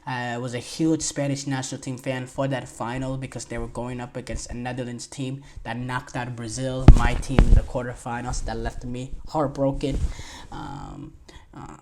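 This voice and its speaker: A man's voice: medium pitch, fairly even intonation, moderate pace, background noise. A twenty-year-old man hosting a casual solo sports podcast, rambling with lots of fillers and restarts as he heaps enthusiastic praise. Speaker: podcast host.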